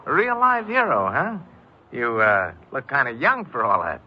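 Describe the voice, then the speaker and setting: An actor playing a chummy, slyly flattering stranger in a 1950s radio drama, talking in ingratiating street slang. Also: male voice, mid-range voice, moderate pace, slightly rough. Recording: muffled old radio broadcast.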